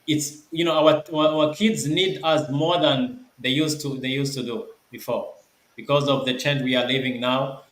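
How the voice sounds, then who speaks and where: A man's voice: medium pitch, a bit bright, echoey room, low-quality recording. A man hosting an online group call, talking casually with many restarts and repeated words. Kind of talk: casual live call talk.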